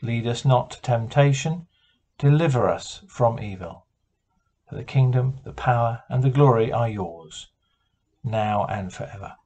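A British man in his sixties quietly leading evening devotions and prayer, gentle and a little hesitant, speaking at home. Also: mid-range voice, a little slow. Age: 60s. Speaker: prayer leader. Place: living room at home.

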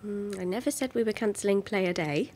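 A woman's voice: medium pitch, a little fast, a little mellow, natural voice. An actress playing a demanding, insecure young woman who wants reassurance, in a naturalistic audio drama. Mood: bossy. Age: young adult. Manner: insistent, needy.

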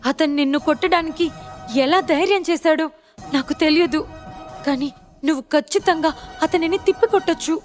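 Woman acting a high-pitched, pleading, submissive young-sounding lady. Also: moderate pace, soft background music, natural voice. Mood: placating. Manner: begging, placating, high-pitched. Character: submissive, pleading woman clinging to cruel man.

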